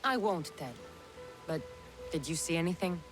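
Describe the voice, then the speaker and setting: An actress playing a commanding, dry-witted mercenary heroine in a video game. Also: female voice, on the low side, lively intonation, moderate pace, faint music behind, close to an everyday voice. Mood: wry. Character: commanding female mercenary hero.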